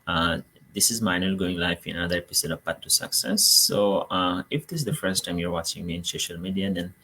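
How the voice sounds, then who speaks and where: Deep-voiced Bangladeshi-accented man hosting. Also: a little fast, sharp tone, a little hiss or hum, poor audio. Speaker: host of an online business show. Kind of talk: live online talk, explanatory.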